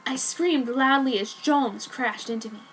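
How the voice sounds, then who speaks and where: A woman's voice: sits high, unhurried. A woman giving a dramatic reading of a fan fiction story. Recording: noticeable background noise.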